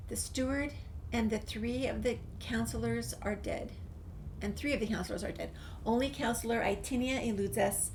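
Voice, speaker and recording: A woman with a creaky voice, reading a game's documents and dialogue aloud as a gameplay streamer. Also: a little high. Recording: faint background noise, game ambience.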